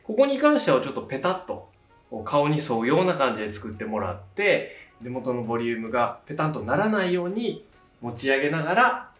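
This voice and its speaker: Echoey, lively-pitched man giving a tutorial. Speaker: hairdresser giving a tutorial, channel host. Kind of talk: casual step-by-step instructional narration. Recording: roomy echo, distant mic, faint music. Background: faint background music.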